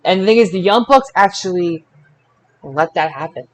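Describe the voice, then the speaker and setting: A man hosting a casual sports results podcast, speaking haltingly and drawing out his announcements. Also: sits high, expressive, rough recording. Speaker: podcast host.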